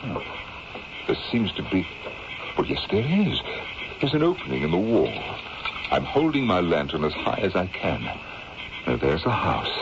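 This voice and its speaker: Deep-voiced, authoritative colonel. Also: male voice, big pitch swings. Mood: resolute. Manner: authoritative, reassuring, measured. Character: firm, rational colonel and protective father. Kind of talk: old-time radio drama acting. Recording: dull, noisy old radio drama recording.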